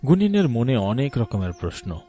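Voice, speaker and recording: A man's voice: mid-range voice, sing-song, normal speed, mellow, soft background music. A man narrating a scripted cartoon story in a dramatic storytelling style.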